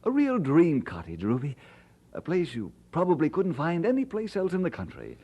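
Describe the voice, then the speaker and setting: A husky, low-pitched young Scottish preacher in an audio drama. He is earnest and devoted with a playful streak, and his mellow voice swings widely in pitch. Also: huge pitch swings, normal speed, highs cut off, full character voice. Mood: modest, flustered. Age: young adult.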